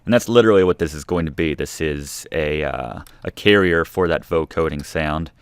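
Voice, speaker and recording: A man's voice: rumbling low, normal speed, clear, resonant tone, dry recording. A man narrating a music production tutorial in a casual, informal, explanatory way. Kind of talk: casual instructional screen-recording narration.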